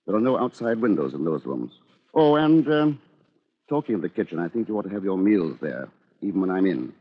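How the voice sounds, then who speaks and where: An actor playing a dry, resentful British bachelor lawyer with a measured, controlled manner, in 1940s radio drama. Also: male voice, deep voice, sing-song. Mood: coolly gloating. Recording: dull lo-fi old radio recording.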